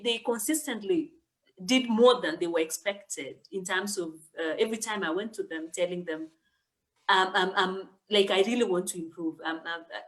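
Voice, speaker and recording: Young kenyan woman guest, talks slow and thoughtful, lots of um and uh. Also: mid-range voice, low-quality recording. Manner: thoughtful, many fillers and restarts. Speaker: guest author. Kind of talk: casual interview answer.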